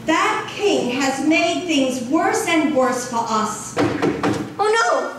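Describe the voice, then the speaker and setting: A woman's voice: sits high, animated, slow. A woman playing an anxious, caring Puritan wife in a puppet show, with theatrical delivery. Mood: worried. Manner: exaggerated, theatrical. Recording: very echoey, noisy, distant live recording.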